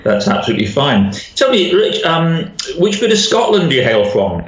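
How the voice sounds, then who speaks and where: A man's voice: a little high, very animated, moderate pace. Roomy, muffled man chatting casually. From Whitehaven, Cumbria, northern England. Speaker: guest, general practitioner. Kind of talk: casual chat. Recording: roomy, dull lo-fi remote-sounding audio.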